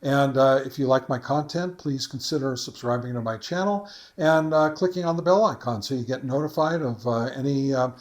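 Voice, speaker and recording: A man hosting a casual how-to video, talking informally and somewhat haltingly as he explains each step. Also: medium pitch. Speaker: host of a ham radio how-to channel. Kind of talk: casual tutorial narration.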